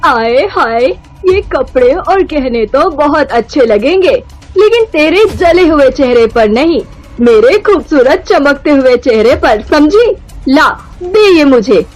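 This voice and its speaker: A scornful middle-aged mother-in-law played by a woman in a cartoon moral story. Her voice is very high, and she scolds and taunts with theatrical impatience. Music plays lightly behind her. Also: expressive, takes it slowly. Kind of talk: melodramatic Hindi moral-story cartoon acting.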